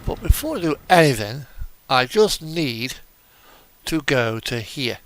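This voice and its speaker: A man giving casual, unhurried gameplay commentary, thinking aloud as he goes. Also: medium pitch, sing-song, a bit bright, rough recording. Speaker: video game player and host.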